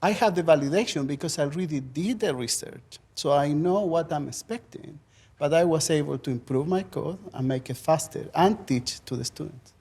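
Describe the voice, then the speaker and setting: A man's voice: fairly high pitch, moderate pace, darkish tone, breathy edge. A man from Chile with a thick Chilean Spanish accent, a native Spanish speaker, talking earnestly as a faculty panelist.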